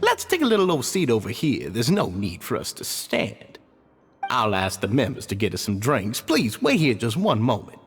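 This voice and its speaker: A man's voice: mid-range voice, wildly swooping pitch, normal speed. An actor playing an earnest, deferential male club leader in a video game, courteous and eager in manner.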